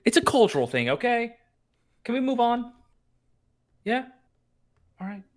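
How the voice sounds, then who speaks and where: A man's voice: very high-pitched, sing-song, unhurried, exaggerated voice. A man playing a brash, impatient wish-granting spirit with slangy salesman's patter in a comedic reading. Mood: brash, exasperated. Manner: slangy, exasperated dude-speak.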